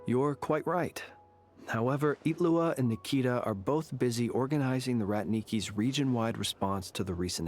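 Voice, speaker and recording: Man as a courteous, composed gentleman envoy. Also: medium pitch, a little hiss or hum, close to an everyday voice. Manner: polite, formal, composed. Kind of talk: video game dialogue acting.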